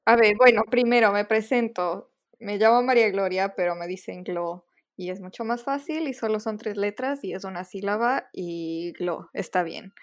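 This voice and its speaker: A woman's voice: mid-range voice, normal speed. Giggly young woman co-host. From Ecuador. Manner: giggly, chatty, frequent fillers. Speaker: podcast co-host. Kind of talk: casual chat.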